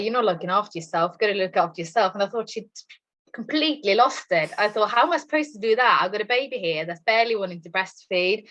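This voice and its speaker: A woman's voice: mid-range voice, a bit bright. A woman in her thirties from Norway with a Norwegian accent, chatting openly and reflectively as a podcast guest. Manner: chatty and reflective, open about herself. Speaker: podcast guest, nutrition coach. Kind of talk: casual chat.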